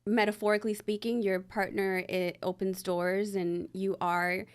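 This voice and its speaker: A woman's voice: medium pitch, little pitch movement, moderate pace, clear, resonant tone. Thoughtful, easy-laughing woman guest. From Bangladesh. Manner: thoughtful, laughs easily, some hesitation. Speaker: guest, actress and writer. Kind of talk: casual interview answers. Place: podcast recording studio.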